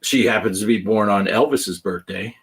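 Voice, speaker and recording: A man's voice: lowish voice, normal speed, fairly bright tone, slightly rough. A man in his fifties hosting a casual gaming podcast, joking around and reading chat comments aloud. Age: 50s. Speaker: podcast host.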